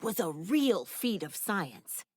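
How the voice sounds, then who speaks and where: A woman's voice: mid-range voice, big pitch swings, slow, husky, no echo, clean studio sound, heavily acted. A woman voicing a proud, sassy adult inventor and mother in an English anime game, with a breezy manner.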